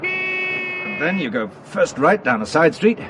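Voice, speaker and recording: A man's voice: extremely high pitch, huge pitch swings, slow, over loud music, full character voice. An actor playing a wry, secretive middle-aged businessman in a radio drama, dryly evasive and making light of things. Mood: brisk, absorbed.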